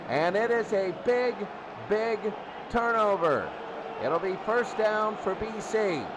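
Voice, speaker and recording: A man doing live football play-by-play, excitable and emphatic, often repeating his phrases. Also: high voice. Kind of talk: live sports commentary. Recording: noisy recording with loud crowd. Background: loud crowd noise.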